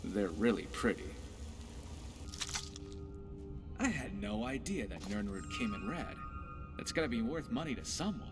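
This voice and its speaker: Wry pirate adventurer, faint music behind. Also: male voice, lowish voice, sing-song, normal speed. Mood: wry musing. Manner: wry, conversational, philosophical. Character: roguish, witty pirate adventurer companion, male. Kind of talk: video game companion dialogue.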